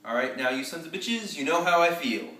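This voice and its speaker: A man performing a theatrical war-movie monologue as a tough, commanding American army general, emphatic and deliberate with dramatic pauses. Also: fairly high pitch, expressive, sharp tone. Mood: proud, gruff. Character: tough, profane American army general rallying his troops. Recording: roomy echo, distant microphone.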